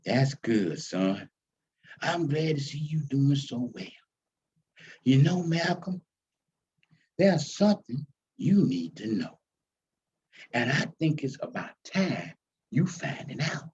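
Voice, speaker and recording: A man's voice: mid-range voice, big pitch swings, slow, pauses often, a little mellow, muffled recording, a little hiss or hum, voice pushed down. A man voicing an elderly, devout, feisty grandmother in a folksy, teasing, preaching manner. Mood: earnest. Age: older.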